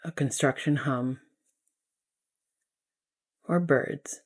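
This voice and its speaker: Slow, low-voiced woman guiding meditation. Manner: soothing, unhurried, gentle instruction. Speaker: guided meditation teacher. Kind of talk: guided yoga nidra meditation.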